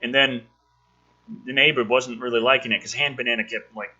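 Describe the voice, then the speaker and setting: A man's voice: mid-range voice, fairly even intonation, a little fast, bright, forceful tone, breathy edge, muffled recording. A man with a slightly sick throat reads a script aloud, adding sweary, sarcastic, exasperated commentary. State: throat trouble from being a bit ill. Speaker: host reading and mocking a film script. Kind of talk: script read-aloud with ranting, joking commentary.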